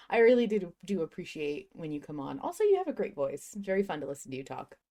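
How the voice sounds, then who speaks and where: A woman's voice: medium pitch, darkish tone. A woman hosting a fan podcast in casual, effusive, enthusiastic chat. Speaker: podcast host.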